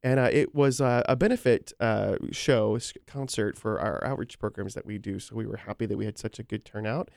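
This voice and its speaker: A man co-hosting a casual chat show, his voice hoarse from a cold, talking in a chatty, joking way with frequent fillers. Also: on the low side, fairly even intonation, fairly quick, hardly any pauses, a little mellow, no echo, studio quality. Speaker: co-host.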